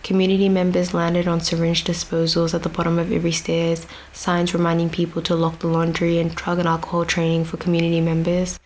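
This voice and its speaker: A young woman giving a prepared storytelling speech to an outdoor audience, measured and reflective with dry asides. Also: lowish voice, monotone, a little hiss or hum. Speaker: speaker addressing an outdoor audience. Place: outdoor venue with grass and a stage.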